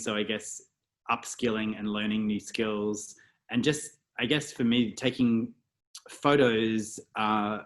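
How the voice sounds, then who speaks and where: An Australian man in a casual interview, talking reflectively and thinking aloud with many pauses and fillers. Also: on the low side. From Australia (Melbourne). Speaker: guest, stylist and photographer.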